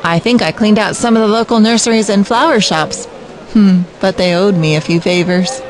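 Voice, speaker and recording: An actress plays a cheerful garden designer in an audio drama, proud of her work. Her medium-pitched voice is friendly and a little self-satisfied. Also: normal speed, close to an everyday voice, rough recording.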